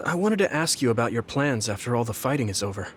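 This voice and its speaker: An actor playing an earnest, gentle, idealistic young fighter in a video game, with a slightly hesitant manner. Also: male voice, mid-range voice, very animated, fast, a little hiss or hum, natural voice. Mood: earnest, hopeful. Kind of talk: English video game voice acting.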